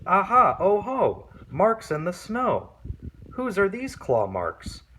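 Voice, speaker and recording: A man's voice: sits high, wildly swooping pitch, slow pace, a bit bright, slight background noise, pitched above the performer's own voice, full character voice. A man voicing a curious, bold young female monster child in a children's storybook. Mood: excited. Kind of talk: children's picture book read-aloud.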